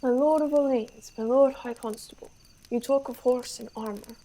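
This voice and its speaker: A woman's voice: fairly high pitch, fairly even intonation, normal speed, dark tone, slight background noise, lightly acted. A young woman acting a vain, horse-besotted young French prince in a Shakespeare radio play, grandiose and declamatory. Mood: boastful, rapturous.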